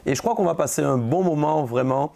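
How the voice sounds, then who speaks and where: Gravelly-voiced male talk-show host. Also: on the high side, brisk. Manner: warm conversational, frequent fillers and restarts. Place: studio set.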